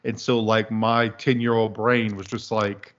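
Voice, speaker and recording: A man in his thirties from the American Deep South, chatting casually as a podcast guest in a meandering, dryly humorous way. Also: level tone, unhurried. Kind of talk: casual chat. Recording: dull lo-fi podcast audio.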